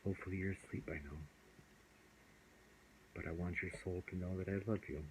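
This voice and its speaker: A father narrating a bedtime story in a gentle, loving, unhurried way. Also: low voice, fairly even intonation, slight background noise, low-quality recording.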